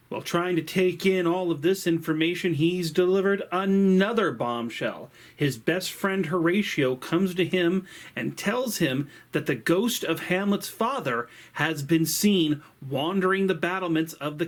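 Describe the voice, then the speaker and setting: A man teaching and storytelling from a script, with a theatrical, emphatic delivery and dramatic pauses. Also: on the high side. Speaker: teacher and host of a story series. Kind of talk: scripted literary lesson and storytelling.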